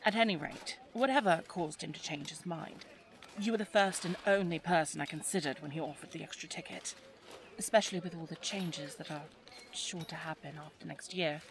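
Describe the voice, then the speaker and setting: A woman's voice: sits low, fairly quick, a little hiss or hum. A woman playing a spirited, teasing young English lady of the 1920s in an audio drama, chatty and well-spoken with an English accent. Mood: fond, thoughtful. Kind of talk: audio roleplay drama, addressed to the listener.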